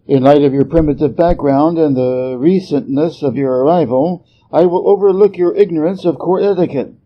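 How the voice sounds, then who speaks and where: A man playing a stern, aloof celestial emperor in a storybook reading, formal and measured, on a slightly muffled recording. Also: on the high side, natural voice. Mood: cool, formal. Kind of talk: storybook character voice.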